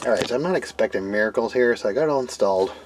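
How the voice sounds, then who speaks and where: A man's voice: medium pitch, expressive, racing. A man giving a casual hands-on demonstration, thinking aloud in a hesitant, self-doubting way as he works. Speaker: DIY car radio tinkerer narrating repair video. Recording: clear background noise, vehicle ambience. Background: vehicle ambience and noise.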